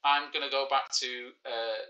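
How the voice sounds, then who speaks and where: A man's voice: mid-range voice, steady tone, normal speed, bright, forceful tone, muffled recording. A man in his forties with a Manchester accent, a teacher hosting a live online quiz in a casual, joking, self-mocking way. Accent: Mancunian. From Manchester, England. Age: 40s. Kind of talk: casual livestreamed lesson.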